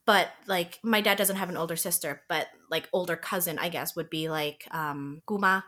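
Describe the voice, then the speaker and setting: A woman's voice: fairly low pitch, normal speed, pushed and bright. Chatty young woman laughing through stories. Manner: chatty, laughs often, many fillers. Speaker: podcast guest. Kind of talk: casual podcast chat.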